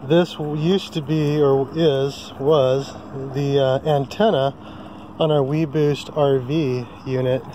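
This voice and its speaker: A man's voice: medium pitch, fairly even intonation, slow pace, dark tone, slight background noise. A man casually vlogging outdoors at a riverside campsite, filming with a handheld phone and rambling with many ums and self-corrections. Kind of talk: casual how-to vlog. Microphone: handheld phone camera.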